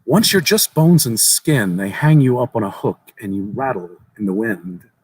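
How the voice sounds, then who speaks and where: A man's voice: medium pitch, lively intonation, moderate pace, background noise, close to an everyday voice. Man voicing an eerie, precocious little boy. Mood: eerie, calm. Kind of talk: dramatic reading of a horror short story.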